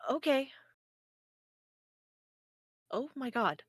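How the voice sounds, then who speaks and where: A woman's voice: high-pitched, lively intonation, extremely slow, fairly bright tone, breathy edge, no room sound, clean studio sound, heavily acted. A woman playing a bewildered, anxious space probe in a podcast table read, hesitant and stammering. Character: bewildered newly awakened space probe, anxious, childlike, pleading.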